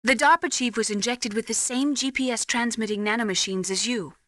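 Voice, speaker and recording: A woman plays a poised military doctor in a game, her voice fairly high and crisp-edged. She stays professional and self-possessed with a cool flirtatious streak, recorded close and dry. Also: brisk, a bit bright, no echo, natural voice. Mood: cautioning. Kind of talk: video game voice acting.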